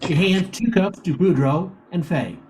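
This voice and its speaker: A man's voice: high-pitched, measured pace, a little mellow, poor audio. A man giving scripted narration as the narrator of a radio play. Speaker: professor and narrator.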